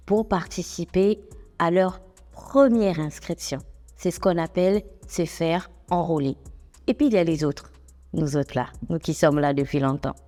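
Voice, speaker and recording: A young adult woman, presenting civic information in a clear, measured, explanatory way. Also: fairly low pitch, warm tone, in a large hall. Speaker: radio host and reporter.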